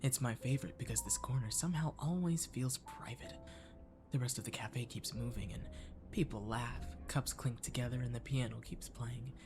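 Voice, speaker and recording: A man's voice: mid-range voice, moderate pace, close to an everyday voice. A man playing a courteous, flirty catboy cafe waiter in an ASMR roleplay, speaking attentively and coaxingly to the listener. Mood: gentle, inviting. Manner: attentive, gently coaxing, lapsing into whispers. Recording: music behind the voice. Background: clear background music.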